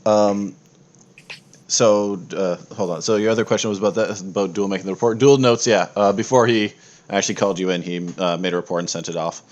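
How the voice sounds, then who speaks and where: A man with a fairly low voice runs a tabletop roleplaying game. He narrates casually and makes it up as he goes, pausing and rephrasing his explanations. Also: fairly even intonation, highs cut off. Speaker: game master.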